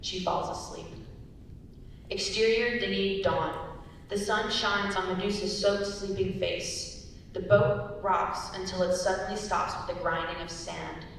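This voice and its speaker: A woman's voice: expressive, measured pace, vocal fry. A young woman reading scripted narration and stage directions as a narrator. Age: young adult. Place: large echoey indoor performance space. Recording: very echoey hall, distant mic, rumbly noise.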